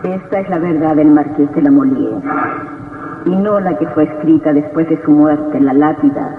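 An actor playing Death as a solemn, cryptic reaper in a radio horror drama, pronouncing each phrase gravely. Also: male voice, on the high side, normal speed, distant mic, close to an everyday voice. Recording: echoing hall, muffled, faintly noisy old radio.

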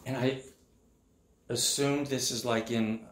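A man's voice: medium pitch, fairly even intonation, slow, slight background noise. Off-camera male interviewer, echoey. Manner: brief, prompting questions. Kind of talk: interview questions.

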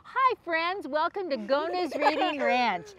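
Slow-paced woman reading to young children. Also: high-pitched, lively intonation, crowd noise. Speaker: children's storytime host. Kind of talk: read-aloud storytelling for young children.

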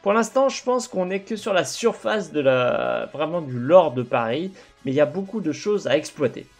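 A man's voice: on the high side, normal speed, soft background music. A young man hosting a gaming video, commenting over gameplay with lots of fillers and restarts, on a headset mic. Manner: animated, talks with his hands, many fillers and restarts. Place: home room with gaming chair.

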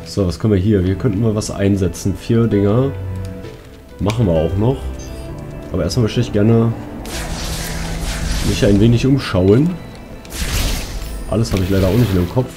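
Low-pitched man doing casual game commentary. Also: moderate pace, pauses often. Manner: colloquial, talking to himself and to viewers. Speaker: let's player. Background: game music.

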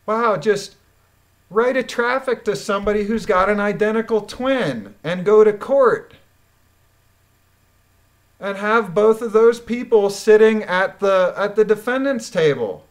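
A woman's voice: mid-range voice, animated, unhurried. A woman reading documents aloud and commenting on them in a blunt, emphatic, indignant manner. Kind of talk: reading a court transcript aloud with critical commentary.

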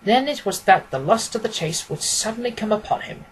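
A man's voice: high voice, expressive, fairly quick, slight background noise, low-quality recording. A man reading scripted literary narration as an audiobook reader.

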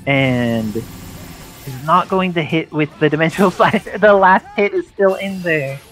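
A man's voice: on the high side, unhurried, fairly bright tone. A man giving explanatory, articulate live play-by-play of a fighting game as an esports commentator. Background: game music under the commentary.

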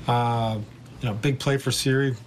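A middle-aged man, a baseball manager, answering reporters' questions after a game in a measured way, with frequent fillers and restarts. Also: medium pitch, little pitch movement, noisy background. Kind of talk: postgame press conference answers. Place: indoor press conference room.

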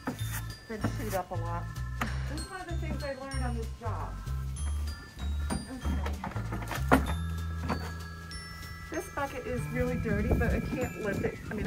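An older woman with a fairly high voice talks casually while working in a stable. Her phrasing is halting and unhurried, slightly covered by a cloth mask, with loud music underneath. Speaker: stable worker. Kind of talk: casual explaining while doing chores. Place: horse stable stalls and yard. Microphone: phone camera a few feet away, speaking through cloth mask.

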